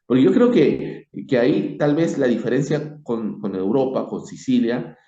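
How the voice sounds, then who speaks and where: A man with a Peruvian Spanish accent giving his opinion informally, reflective and halting, leaning emphatically on key words. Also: mid-range voice, lively intonation, warm tone. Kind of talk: informal spoken opinion on history. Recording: dull, echoey room recording.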